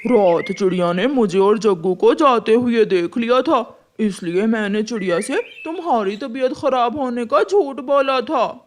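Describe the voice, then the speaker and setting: A woman's voice: on the high side, lively intonation, a little slow, hardly any pauses, darkish tone. Woman playing a curt, crooked old father crow. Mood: explaining. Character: gruff, crooked father crow, ageing thief. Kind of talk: children's cartoon story acting.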